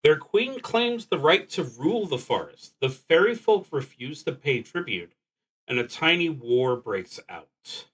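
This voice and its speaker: A man streaming a solo roleplaying game, reading prompts aloud and thinking out loud in a deliberate, halting way that trails off into muttering. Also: a little high, sing-song, husky, low-quality recording.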